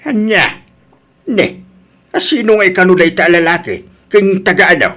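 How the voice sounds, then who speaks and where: A man's voice: high voice, moderate pace, fairly bright tone. A man with an Ilocano Filipino accent playing a worried, exasperated older father in an audio drama. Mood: shocked.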